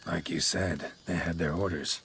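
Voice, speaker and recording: An actor playing a stoic, weary monster hunter in a video game, gruff, terse and deadpan. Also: male voice, low voice, normal speed, a little hiss or hum. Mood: grave, flat.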